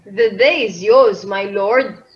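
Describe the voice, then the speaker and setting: A woman's voice: mid-range voice, slow, dull audio, natural voice. A woman playing a loyal, jubilant follower who proclaims to a new king in an amateur Shakespeare radio drama. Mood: triumphant. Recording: environmental noise behind the voice.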